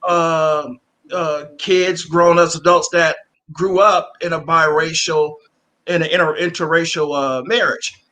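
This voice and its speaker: A man's voice: on the high side, fairly even intonation, measured pace, pushed and bright. A man hosting a podcast interview in a casual, rambling way, with many fillers and restarts. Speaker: podcast host.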